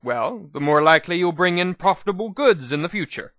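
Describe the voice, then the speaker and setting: Man voicing a bright, brisk female pony merchant. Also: high-pitched, animated, dry recording, dull audio, pitched above the performer's own voice. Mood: businesslike. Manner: bright, businesslike, calculating. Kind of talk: dramatic audiobook reading.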